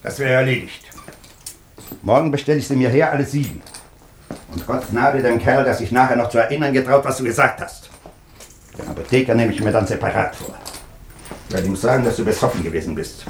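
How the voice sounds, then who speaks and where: An actor playing a gruff, domineering older army colonel in a German radio drama, barking curt commands. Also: male voice, mid-range voice, a little fast, long pauses, a little hiss or hum, close to an everyday voice. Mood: stern, commanding.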